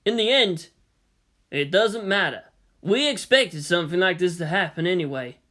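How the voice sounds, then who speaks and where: A man voicing grave, fatalistic military men in a war story, speaking in a measured way. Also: high-pitched, lively intonation, bright, forceful tone. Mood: grave resignation.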